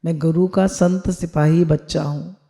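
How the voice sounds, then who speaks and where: A woman's voice: deep voice, steady tone, unhurried, dark tone. A woman spiritual teacher on a video call, leading listeners through affirmations they repeat after her, mixing Hindi with English phrases.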